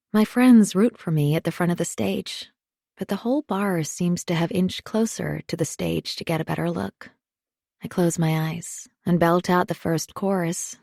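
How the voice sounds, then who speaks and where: A woman reads a first-person thriller with a measured, confiding delivery. Her voice sits somewhat low and carries a gravelly edge. The recording is close and dry, with no room sound. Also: warm tone, no echo. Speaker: audiobook narrator. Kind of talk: first-person scripted narration.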